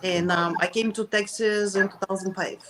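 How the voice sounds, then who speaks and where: A middle-aged woman speaks halting English with a Russian accent, at a middling pitch. She sounds like a guest in a casual interview, pausing to find the right word. Light noise and clicks sit behind her. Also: fairly even intonation. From Russia, Soviet Union. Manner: halting, searching for words in non-native English.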